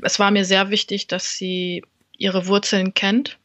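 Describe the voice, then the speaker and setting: A woman talks as a stand-up comedian guest on a relaxed podcast. She explains things thoughtfully and at length and laughs easily. Her voice sits in a middle range, recorded close and dry. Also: medium pitch, unhurried, no room sound. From Germany. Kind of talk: casual podcast conversation.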